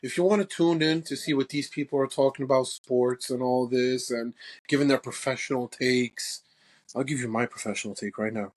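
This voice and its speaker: A man's voice: mid-range voice, steady tone, a little fast. A young man from Croatia co-hosting a football podcast, brash and boastful, swearing freely in casual chat. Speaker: podcast co-host.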